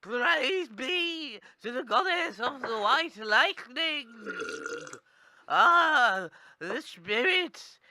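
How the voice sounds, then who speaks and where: A woman's voice: high-pitched, expressive, extremely slow, sharp tone, a little hiss or hum, full character voice. A woman with a British accent playing a brazen, unapologetic fortune teller in a radio comedy. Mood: theatrical trance. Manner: matter-of-fact, unapologetic. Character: brazen, blunt post-apocalyptic female fortune teller. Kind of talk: comic radio acting.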